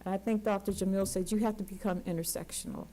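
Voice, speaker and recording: A woman in her sixties speaks at a conference panel in an unscripted, heartfelt way. She is emphatic and earnest, often repeating words to drive a point home. Her voice sits at an ordinary middle pitch for a woman. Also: steady tone, fast talker, dark tone, a little hiss or hum. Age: 60s. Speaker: community organizer on a panel.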